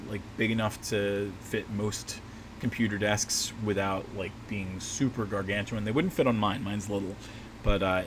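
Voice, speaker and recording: A man with a slightly scratchy voice hosting a casual livestream from a small home desk, chatting loosely with lots of fillers and restarts as he works. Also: lowish voice. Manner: rambling, relaxed, many fillers and restarts. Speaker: livestream host and map maker. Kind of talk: casual livestream chat while working. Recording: steady air-conditioner hum behind voice. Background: air-conditioning hum.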